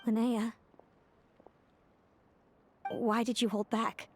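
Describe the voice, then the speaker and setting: A woman's voice: fairly high pitch, little pitch movement, drawn-out words, soft background music. A woman playing a somber, fatalistic seer in a video game, speaking in a solemn, formal and brooding way. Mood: troubled. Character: somber, fatalistic female seer resigned to fate. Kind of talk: dramatic video game voice acting.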